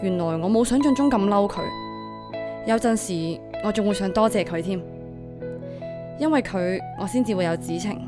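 A woman's voice: mid-range voice, expressive, moderate pace, long pauses, over music, close to an everyday voice. An actress playing a devoted, vulnerable young single mother in a Hong Kong Cantonese audio drama, speaking in a heartfelt way. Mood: calm, reflective. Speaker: voice actor. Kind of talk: audio drama acting with first-person narration.

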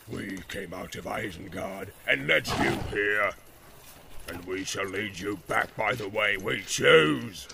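Brutish orc captain, deep slow growl, gravelly and barking, as a male reader puts him on. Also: background noise, full character voice. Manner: barking, domineering.

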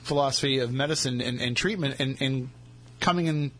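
Fast-talking joking male host. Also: medium pitch, a bit bright. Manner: joking, stumbling restarts. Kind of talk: casual live talk show.

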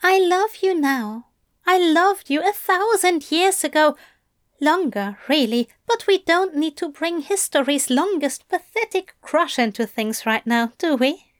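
A tender, teasing male demon, voiced by a woman in a storybook reading. The voice sits high and swings widely in pitch, unhurried and coaxing. The sound is close and dry. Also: lively intonation, dry recording. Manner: soft, coaxing, earnest. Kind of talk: audiobook fan fiction reading.

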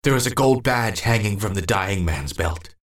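A man's voice: fairly low pitch, lively intonation, normal speed, a bit bright, pitched above the performer's own voice. A man plays a frightened old man lost in a nightmare, his voice leaping up and down as he mutters and repeats himself. It sounds distant and roomy, as if heard from across an echoing space. This is a horror audiobook. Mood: uneasy. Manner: frantic, repetitive muttering in first person.

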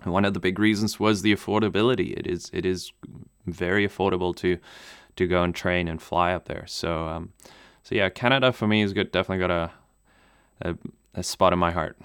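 Deep-voiced, smooth man, halting and relaxed. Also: dry recording, clean studio sound. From Namibia. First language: German. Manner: relaxed, hesitant with many ums and restarts, laughs easily. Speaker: pilot and video maker. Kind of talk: casual interview answers.

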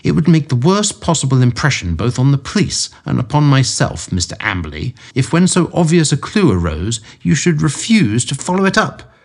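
A man reading aloud voices a sharp, authoritative detective with a dry wit. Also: medium pitch, very animated, normal speed, few pauses, voice pushed up, exaggerated voice. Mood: commanding. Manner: crisp, authoritative. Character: sharp, commanding male detective, dry humor.

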